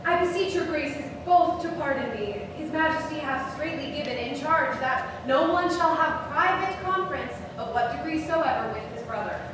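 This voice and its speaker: A woman's voice: high voice, normal speed, few pauses, lightly acted. Woman playing polite official royal guard, shakespeare stage reading, echoey noisy distant sound. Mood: apologetic, official. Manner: formal, official delivery. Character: deferential, dutiful royal guard Brackenbury. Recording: echoing noisy hall, distant mic.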